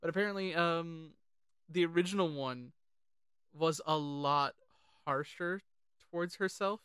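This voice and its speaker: A man co-hosting a casual film discussion, sharing trivia in a chatty, halting way and often repeating his phrases. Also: on the high side, fairly bright tone, clear, resonant tone, dry recording, clean studio sound. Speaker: podcast co-host.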